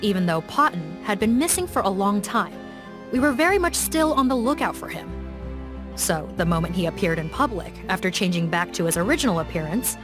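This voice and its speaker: A woman voicing a composed police officer in a video game, reporting in a calm, matter-of-fact way. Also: mid-range voice, fairly quick, lightly acted. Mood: firm. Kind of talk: video game dub dialogue. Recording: game cutscene with music beneath. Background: music.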